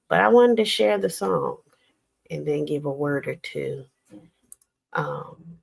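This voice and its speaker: An older woman hosting a casual late-night livestream, talking in a slow, reflective, rambling way with many pauses. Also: rumbling low, vocal fry. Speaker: livestream host.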